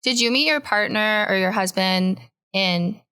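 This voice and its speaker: A woman's voice: mid-range voice, a little slow, bright, forceful tone, smooth. A woman hosting a podcast in a casual, conversational style. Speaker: podcast host. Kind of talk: casual chat.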